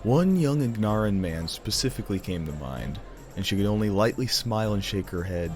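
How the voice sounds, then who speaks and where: Male narrator for fantasy audio drama: very low voice, theatrical, deliberate storytelling over music. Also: very animated, darkish tone.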